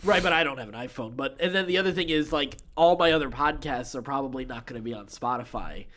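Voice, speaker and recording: A man's voice: medium pitch, fast, a bit bright. A man hosting a podcast, reading entertainment news with informal, enthusiastic, chatty asides. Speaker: podcast host.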